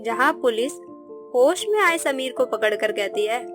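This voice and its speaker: A woman's voice: on the high side, animated, measured pace, music in the background. A woman narrator reading a scripted moral story.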